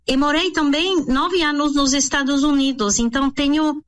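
A woman's voice: high-pitched, steady tone, moderate pace, a bit bright. A woman from France, a nutrition professional answering interview questions in a thoughtful way, with many fillers and restarts. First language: French.

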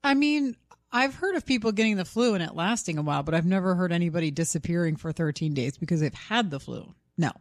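A woman co-hosting a radio show in casual conversation. Her voice sits a little low, and the sound is dry and close. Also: expressive, quick pace, no echo. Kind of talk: casual on-air chat.

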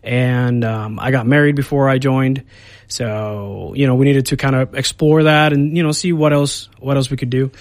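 A man in his thirties, born and raised in Puerto Rico, speaks as a guest on a radio show. His medium-pitched voice rambles easily, full of fillers and restarts. The studio sound is dry and close. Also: steady tone, normal speed, dry recording. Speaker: Air Force recruiter. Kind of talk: casual radio interview.

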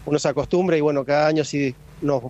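Man, from Argentina, Argentine accent, eager fan, rapid, muffled. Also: on the high side, fairly even intonation, darkish tone. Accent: Argentine Spanish. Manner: enthusiastic, boastful about his team. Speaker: football fan.

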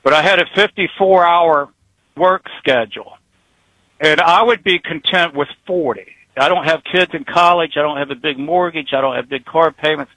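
A man's voice: on the high side, normal speed, pushed and bright, no room sound, poor audio. Rambling folksy man in his sixties. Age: 60s. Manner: rambling, folksy, chuckles between thoughts. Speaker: retired truck driver caller. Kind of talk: casual phone-in chat.

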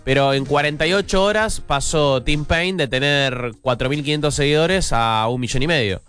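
A man's voice: on the high side, normal speed, fairly bright tone, smooth, soft background music. Chuckling Rioplatense man telling a story. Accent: Rioplatense Spanish. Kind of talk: casual studio chat.

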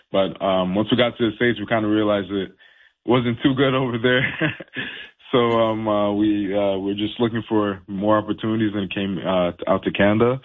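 A young man from Haiti, a professional boxer, talking casually in an interview with lots of fillers and restarts. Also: on the low side. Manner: laid-back, many fillers and restarts. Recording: tinny phone line.

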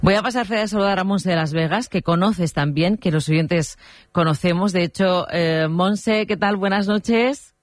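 A man's voice: high voice, moderate pace, dry recording. A radio host interviewing callers, repeating his questions and reassuring them patiently.

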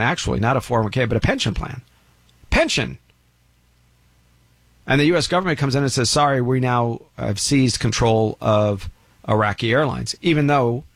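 A man's voice: medium pitch, no room sound. A man giving a blunt, opinionated monologue about money and retirement, lecturing with emphatic rises. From United States.

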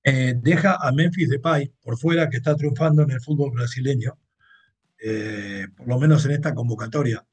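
A man's voice: mid-range voice, moderate pace, breathy edge. A man with a Mexican accent hosting a football show, chatting through upcoming matches and giving blunt opinions in a casual, talky way. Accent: Mexican Spanish. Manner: chatty, opinionated, translating clips. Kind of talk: casual sports commentary monologue.

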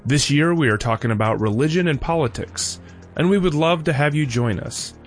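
Guy reading the podcast intro, steady scripted delivery, quiet music behind. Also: fairly high pitch. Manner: measured, read from script. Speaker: podcast host.

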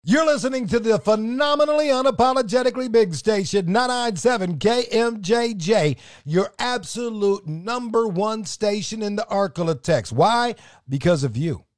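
A man delivers a punchy, hyped station ID. His voice sits high. The recording is dry. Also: lively intonation, moderate pace, no echo, soft background music. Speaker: radio station imaging voice.

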